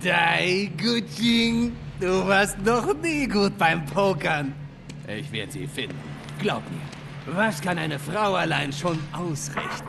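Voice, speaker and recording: Game villain: swaggering pirate boss, slow creaky delivery, taunting and menacing, music behind. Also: male voice, sits high, sing-song, very noisy, exaggerated voice. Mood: sly threatening. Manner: taunting, theatrical, coarse. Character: swaggering, volatile male pirate gang boss, menacing, mocking. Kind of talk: cinematic video game villain acting, German dub.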